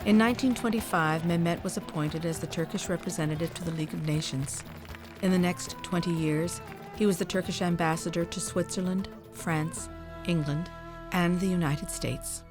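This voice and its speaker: A woman reads scripted documentary narration in a measured, formal storytelling manner. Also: on the low side, steady tone, music in the background.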